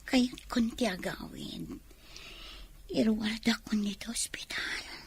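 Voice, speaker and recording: An actress playing a weak young woman patient in a radio drama, frail, breathy and whispering. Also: a little high, animated, takes it slowly, exaggerated voice. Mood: weak, faint.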